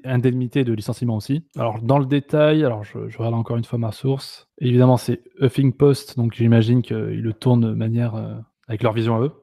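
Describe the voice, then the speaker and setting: A man's voice: mid-range voice, mellow, dry recording, clean studio sound. A man hosting a casual political talk, hesitant and reading from his notes with many fillers. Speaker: show host.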